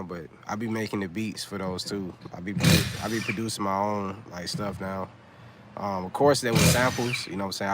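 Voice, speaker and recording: A man's voice: fairly low pitch, heavy background noise. Laid-back slangy man in his thirties. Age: 30s. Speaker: vlogger on a livestream. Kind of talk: casual livestream chat.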